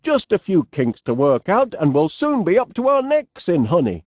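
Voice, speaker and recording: An actor playing a cheerful, eccentric middle-aged English inventor with a Northern English accent, chummy and old-fashioned in his phrasing, in an animated video game. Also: high-pitched, moderate pace, no room sound, clean studio sound, exaggerated voice. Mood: cheerful, confident.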